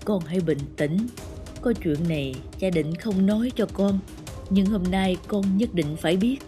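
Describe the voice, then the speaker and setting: A kindly elderly father in an animated family drama. He speaks firmly and with measured patience, a grandfather who holds his ground, with music behind him. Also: high-pitched. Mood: calm, earnest.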